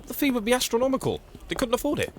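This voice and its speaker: An actor playing a glib, excitable eighteenth-century newspaperman in an audio drama, wry and easily flustered. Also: very high voice, very fast, a little hiss or hum, exaggerated voice. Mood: curious, wry. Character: eager, wry, excitable 18th-century journalist and publisher. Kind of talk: period audio drama acting.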